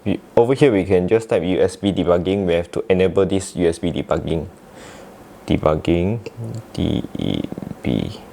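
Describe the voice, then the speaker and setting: Malaysian guy with a low voice walking thru software steps, casual how-to style. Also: animated, normal speed, vocal fry, a little hiss or hum. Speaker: tech tutorial presenter. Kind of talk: casual step-by-step software tutorial.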